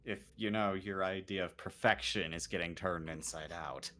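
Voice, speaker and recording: A man's voice: low-pitched, normal speed, bright, forceful tone. A man playing a tabletop roleplaying game, chatting casually with the group.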